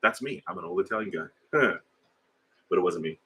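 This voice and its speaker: A middle-aged man with a deep, low-pitched voice that still sounds bright and forceful. He talks in a loose, rambling way, stopping for fillers and restarts as he chats with viewers on a live stream. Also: expressive, moderate pace, husky. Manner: rambling, conversational, hesitant with fillers. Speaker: livestream host. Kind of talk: casual live chat reading viewer comments.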